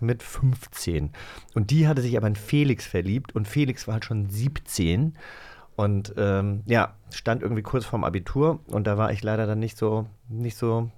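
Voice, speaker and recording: A man's voice: on the low side, a little mellow. A man co-hosting a podcast, telling stories in a casual, chatty and playful way. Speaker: podcast host.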